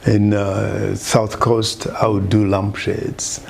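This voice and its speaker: A man's voice: on the low side, little pitch movement, slow, gravelly. An older man with an East African accent reminiscing casually in an interview, often repeating words for emphasis. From Uganda, grew up partly in Mombasa, Kenya. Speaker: artist being interviewed. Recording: busy chatter in the background. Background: crowd chatter.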